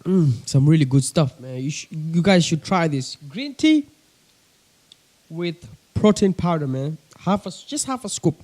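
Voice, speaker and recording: Chatty young Kenyan man, high mellow voice. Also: expressive, measured pace. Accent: coastal Kenyan. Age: young adult. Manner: chatty, keeps addressing viewers as guys. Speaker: pigeon-keeping vlogger. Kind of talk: casual chat to camera. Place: bedroom with a desk.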